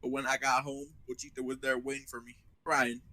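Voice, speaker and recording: A man playing a rough young devil hunter, crude but tender, with a blunt delivery in an anime fan dub. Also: mid-range voice, little pitch movement, brisk, pushed and bright, dry recording, natural voice. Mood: wistful.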